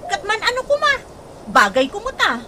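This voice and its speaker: A woman's voice: very high-pitched, wildly swooping pitch, normal speed, noisy background, heavily acted. A woman playing a vain, stubborn young wife in a radio comedy drama, with an Ilocano accent and a defiant manner. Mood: smug defiance. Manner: defiant, brushing off criticism.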